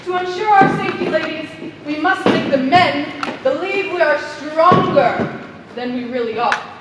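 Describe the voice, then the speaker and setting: Actress playing scheming woman president, pompous, deliberate, stage play, very high-pitched, strongly echoing theater. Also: close to an everyday voice. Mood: smooth, condescending. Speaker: stage actor. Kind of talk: live one-act stage play acting. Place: indoor theater stage, recorded from afar. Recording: echoey theater hall, distant mic, faint noise.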